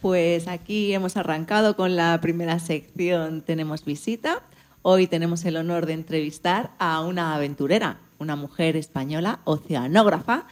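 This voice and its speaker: A woman with an accent from Spain, hosting a radio programme and addressing listeners and co-hosts. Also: lowish voice. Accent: Spanish from Spain.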